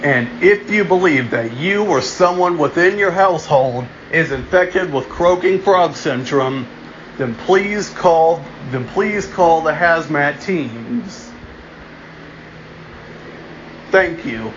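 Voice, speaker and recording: A man playing a solemn emergency alert announcer in an amateur mock broadcast, deliberate and halting, restarting his phrases. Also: on the high side, lively intonation. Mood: urgent warning. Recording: dull lo-fi home recording, noticeable background hum.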